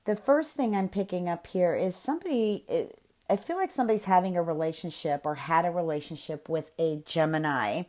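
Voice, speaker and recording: A woman with a slightly nasal, cold-congested voice giving a gentle, spiritual tarot reading with many pauses and fillers. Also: fairly low pitch. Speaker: tarot reader.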